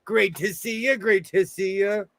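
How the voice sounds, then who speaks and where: A man in his forties hosting a casual sewing livestream, hoarse and losing his voice, joking and laughing often as he reads the chat. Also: sits high, steady tone, unhurried, a bit bright, no echo.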